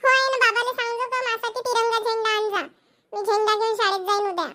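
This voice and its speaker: Tiny spoiled cartoon girl, super squeaky high n sharp, begging, vidarbha marathi accent. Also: flat delivery, fast talker. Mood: eager. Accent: Maharashtrian, Vidarbha Marathi. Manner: childlike, pleading. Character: pampered, pleading little village girl. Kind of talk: cartoon family comedy dialogue.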